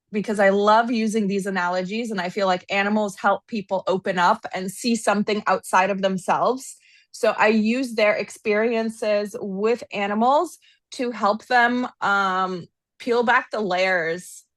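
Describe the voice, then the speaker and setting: Woman, 30s, American accent, animated, laughs a lot, mid-pitched, dry sound. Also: dry recording. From Los Angeles. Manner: animated, laughs often, quick asides. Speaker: guest. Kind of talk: casual interview chat.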